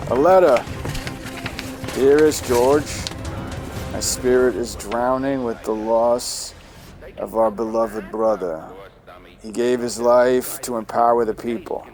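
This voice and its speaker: An actor playing a stern, mocking 1970s federal agent in an audio drama, deliberate and taunting. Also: male voice, mid-range voice, music in the background. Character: stern, mocking male FBI interrogator, 1970s.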